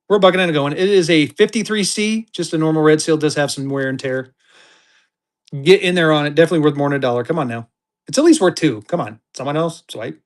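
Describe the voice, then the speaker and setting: High-voiced man hyping auction bids. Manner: hypes bids in bursts, talks about himself in third person. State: tired. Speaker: live auction host selling banknotes. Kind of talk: live-stream auction patter.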